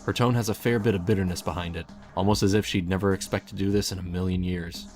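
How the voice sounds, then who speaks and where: A man with a low voice reads a story as its narrator. He delivers scripted second-person prose, and soft music plays behind him. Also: fairly even intonation, fast. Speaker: fan fiction narrator.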